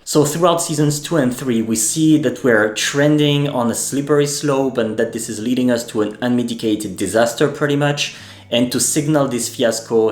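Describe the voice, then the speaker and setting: A man, a native French speaker, hosting a video essay and speaking in a measured way, often pausing with fillers and restarting his sentences. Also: medium pitch. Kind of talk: spoken commentary essay. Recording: echoey room with faint music.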